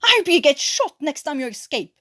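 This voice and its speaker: A woman's voice: high-pitched, big pitch swings, fairly quick, pushed and bright, voice pushed up, heavily acted. A woman voicing a bitter, proud male flight sergeant with an attempted English accent, scornful and seething, in an audio story.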